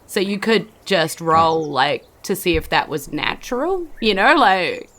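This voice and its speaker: A woman's voice: lowish voice, sing-song, a little slow, fairly bright tone. New woman narrating a fantasy game. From New Zealand. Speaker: game master. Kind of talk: improvised tabletop game narration.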